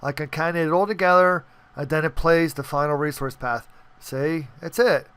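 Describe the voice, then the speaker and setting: A man's voice: a little high, fairly even intonation, normal speed, fairly bright tone, smooth. A man presenting an informal programming tutorial, explaining step by step and checking in with the listener. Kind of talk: informal screencast tutorial walkthrough.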